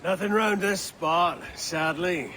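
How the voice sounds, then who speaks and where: Fiery veteran pirate captain for a game: gruff, defiant, high-pitched for a man, outdoor noise behind. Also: takes it slowly, pushed and bright, exaggerated voice. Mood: gruff, businesslike. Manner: gruff, blunt, colourful swearing, theatrical. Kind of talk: cinematic video game acting.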